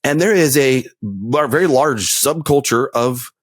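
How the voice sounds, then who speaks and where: A man talking on a podcast, his pitch rising and falling a lot as he spins a long story. He tells it casually, with plenty of fillers and restarts. The sound is dry and close, with no room echo. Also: a little slow. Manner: storytelling, animated, many fillers.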